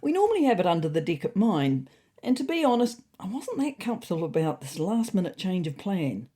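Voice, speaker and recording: A woman's voice: lowish voice, very animated, brisk, mellow, husky, pitched above the performer's own voice. A woman voicing a fussy, anxious male cat who narrates his comic diary in a prim, wordy, faintly indignant way.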